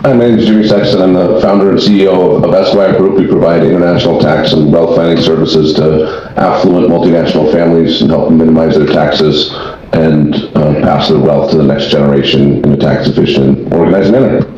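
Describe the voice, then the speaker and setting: A man's voice: extremely low pitch, fairly even intonation, a little fast, dark tone, slight background noise. A man speaking off the cuff as an expert panelist, explaining at length and often restarting his phrases. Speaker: tax and wealth planning founder, panelist. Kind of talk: extemporaneous panel discussion. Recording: very echoey room, dull sound.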